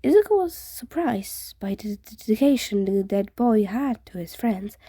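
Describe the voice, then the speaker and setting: A woman's voice: medium pitch, expressive, measured pace, dark tone, slightly rough, dry recording, studio quality. A woman reading fan fiction aloud as a scripted story narration.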